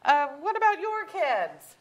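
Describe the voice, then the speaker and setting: A woman's voice: extremely high pitch, very animated, measured pace, highs cut off, full character voice. A woman acting an exasperated, candid middle-aged mother in a staged comedy reading, with a wry, emphatic delivery. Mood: curious. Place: auditorium stage.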